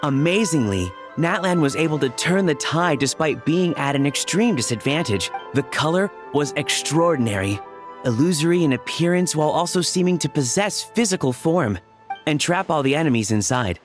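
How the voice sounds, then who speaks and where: An actor playing an earnest, bookish research assistant in a video game, speaking in a precise, scholarly way. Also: male voice, fairly high pitch, animated, moderate pace, soft background music, lightly acted. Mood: reverent narrating. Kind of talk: scripted video game dialogue.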